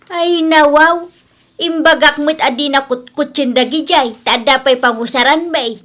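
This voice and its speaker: A woman's voice: sits high, a little slow, a bit bright. A woman playing an indignant village auntie who scolds and protests to guard her things, in a comedic radio drama.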